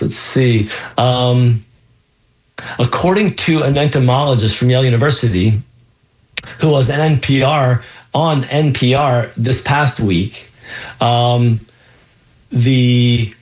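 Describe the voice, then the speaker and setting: A man at a middling pitch hosts a call-in health show, answering listeners like a practising doctor. He explains at length, filling gaps with ums and circling back to restart his sentences. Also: slow pace, low-quality recording. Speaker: radio health show host and physician. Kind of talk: call-in radio advice.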